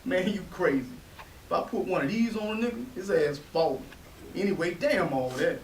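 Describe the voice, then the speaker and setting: A brash, slangy young man with a fairly high voice and a protective streak, acted by a man in a stage play reading. He is heard from a distance in an echoing room, with faint hiss behind him. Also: expressive, normal speed. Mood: cocky boasting. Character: brash, street-tough, protective young brother.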